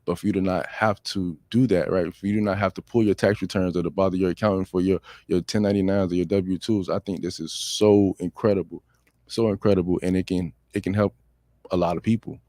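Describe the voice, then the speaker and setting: Reassuring low-voiced American male co-host. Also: fairly even intonation, brisk, darkish tone, dry recording, studio quality. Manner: reassuring, repetitive, checks in with "right?". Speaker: talk show co-host on real estate loans. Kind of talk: conversational financial advice talk.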